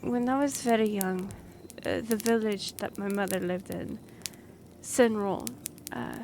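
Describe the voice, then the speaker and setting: A woman's voice: mid-range voice, measured pace, gravelly, darkish tone, a little hiss or hum. A woman playing an earnest young half-elf noblewoman and royal envoy in a fantasy roleplay audio drama, speaking formally with some awkward hesitation. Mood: measured, explanatory. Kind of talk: fantasy roleplay audio acting, addressing the listener.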